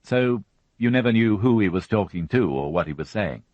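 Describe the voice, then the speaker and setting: A man voicing a patient, weary male chief inspector who questions calmly and evenly, in a storybook reading. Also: fairly low pitch, normal speed, no echo, pitched above the performer's own voice, natural voice. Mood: questioning. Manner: calm, level questioning. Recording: dull, dry studio audiobook.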